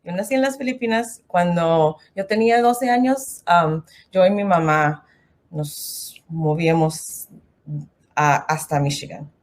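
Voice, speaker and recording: Woman, from the Philippines, lowish voice, slow and hesitant, nervous speaking Spanish. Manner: hesitant, many fillers, slips into English. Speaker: guest. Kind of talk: nervous testimony in halting Spanish.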